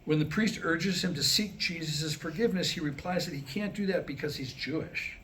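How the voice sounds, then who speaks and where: Guy reading out jokes at a live staged reading, highish bright voice, does the dialogue, bit of room noise. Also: normal speed. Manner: storytelling with acted-out dialogue. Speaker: joke teller at a staged script reading. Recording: noisy live room recording.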